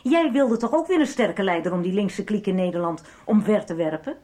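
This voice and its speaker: A woman's voice: medium pitch, expressive, fairly quick, warm tone, close to an everyday voice. An actress in a 1990s radio soap playing a menacing, scornful woman who taunts and commands as she presses a man for information. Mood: threatening, scornful.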